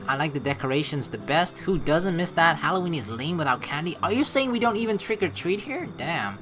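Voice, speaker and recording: A man's voice: fairly high pitch, big pitch swings, fairly quick. A young man from the Netherlands reading a game aloud and chatting casually, crude and joking, with swearing. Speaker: let's play streamer. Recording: music behind the voice. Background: video game music.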